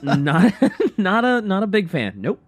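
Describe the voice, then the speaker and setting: Man, studio co-host, casual reactive talk, expressive swings in pitch, stumbling repeated phrases. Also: a little slow, dry recording. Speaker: radio show co-host. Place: radio studio.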